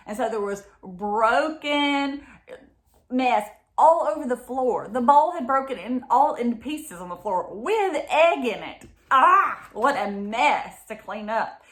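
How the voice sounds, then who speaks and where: Woman, children's read-aloud storyteller, highish voice, very animated, expressive asides, roomy echo. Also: takes it slowly. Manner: expressive, exclaiming, asks listeners questions.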